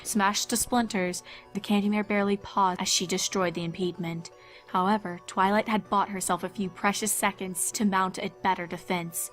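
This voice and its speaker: A woman narrates a horror story in a dramatic, scripted style. Her voice is medium in pitch, and music plays clearly behind her. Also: steady tone, normal speed, a bit bright. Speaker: fan-fiction narrator.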